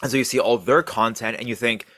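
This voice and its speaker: A Canadian man co-hosting a podcast, talking in a relaxed, easygoing way with lots of fillers. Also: medium pitch, fast talker, dry recording, studio quality. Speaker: podcast co-host. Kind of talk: casual podcast chat.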